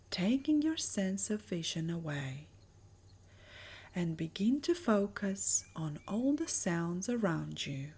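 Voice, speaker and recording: Slow, husky woman guiding meditation. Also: medium pitch, a little mellow. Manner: soothing, measured, breathy delivery with lingering pauses. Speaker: meditation guide. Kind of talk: guided meditation narration.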